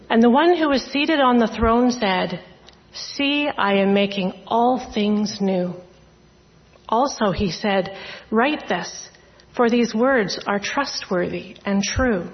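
A woman giving a formal, solemn scripture reading in a measured voice. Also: a little high, lo-fi sound. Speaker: scripture reader.

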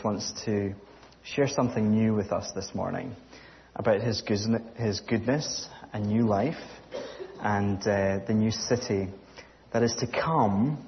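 A man with a Scottish accent giving a sermon, speaking earnestly with frequent pauses and fillers. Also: lowish voice, dull audio. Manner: halting with fillers, earnest and grateful. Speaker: guest speaker.

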